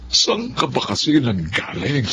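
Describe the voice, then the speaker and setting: An actor playing a stern, worried middle-aged uncle in a Filipino melodrama, with a Filipino accent. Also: male voice, medium pitch, huge pitch swings, measured pace, background noise. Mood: questioning. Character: stern, worried uncle breaking painful family news. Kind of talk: Filipino television melodrama acting.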